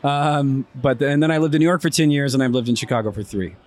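A man in his thirties, originally from Texas, answering interview questions outdoors. He speaks in a halting, wry manner, often restarting his sentences. His voice sits at an ordinary middle pitch. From Texas, USA. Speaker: interview guest. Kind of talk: casual conversation. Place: outdoors in a city neighborhood.

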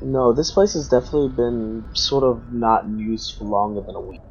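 A man's voice: mid-range voice, steady tone, fairly quick, faint music behind, natural voice. A man playing an observant, helpful investigator's companion in a tabletop role-playing game, tentative and understated. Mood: uneasy, puzzled. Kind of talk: improvised tabletop role-play. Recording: dull, slightly noisy microphone.

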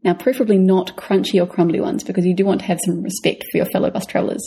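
A woman with a New Zealand accent gives practical travel advice on a podcast, talking fast. Her voice is a little low, husky and warm. She is casual and to the point. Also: steady tone. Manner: brisk, practical advice-giving. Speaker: podcast co-host.

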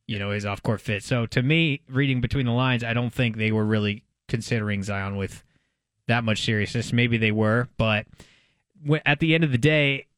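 An American man co-hosting a sports talk show, speaking in a casual, animated, conversational way. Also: mid-range voice, dry recording. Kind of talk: casual sports radio discussion.

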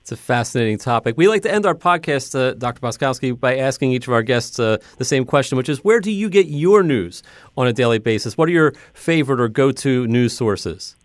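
A man hosting a podcast in a measured, formal way, asking questions and reading the credits. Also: mid-range voice, lively intonation, no room sound. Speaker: podcast host.

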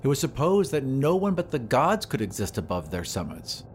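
A man's voice: medium pitch, huge pitch swings, moderate pace, a little hiss or hum. A man voicing a polite, apologetic and talkative woman airship attendant who is anxious to please. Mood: wistful.

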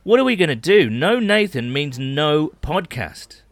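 A man playing a panicky, bumbling head coach, flustered and excitable, in a comedy audio drama. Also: a little high, measured pace, no room sound, full character voice. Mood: panicked.

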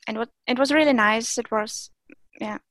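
This is a woman whose first language is Russian, answering interview questions in English. She sits a little higher in pitch and keeps stopping on fillers and restarting, over a close, dry recording without room sound. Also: moderate pace, a bit bright, no echo. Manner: hesitant, many fillers and restarts. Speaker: German teacher and Instagram creator. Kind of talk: interview guest, casual conversation.

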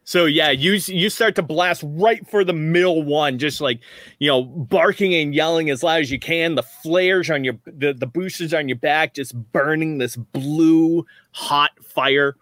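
A man's voice: on the high side, measured pace, fairly bright tone, no room sound, clean studio sound. A man narrating as game master in a tabletop roleplaying game, rambling with frequent restarts. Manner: rambling, many restarts, laughs often.

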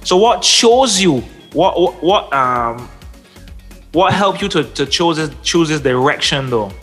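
A man's voice: a little high, expressive, unhurried, a bit bright, husky, faint music behind. A man interviewing a guest, enthusiastic and tripping over his own restarts. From Barbados. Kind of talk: casual interview.

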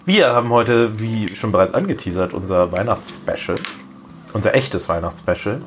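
A man's voice: on the low side, expressive, normal speed, a little hiss or hum. A man co-hosting a podcast, a bit under the weather, bantering and teasing in casual chat. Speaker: podcast co-host.

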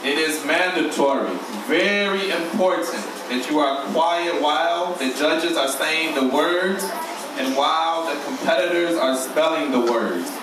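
A man's voice: fairly high pitch, a little slow. Man reading out competition rules formally, super echoey and noisy, mic way off. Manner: formal, emphatic, repetitive. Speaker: spelling bee official. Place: large echoey room, mic far away. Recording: very echoey, distant, noisy hall recording.

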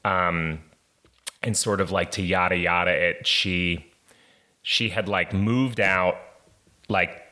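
A man guest on a podcast, telling a personal story in a halting, filler-heavy way. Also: low-pitched, fairly even intonation, sharp tone. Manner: hesitant storytelling, many fillers and restarts. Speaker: guest, comedy writer. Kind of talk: casual interview storytelling.

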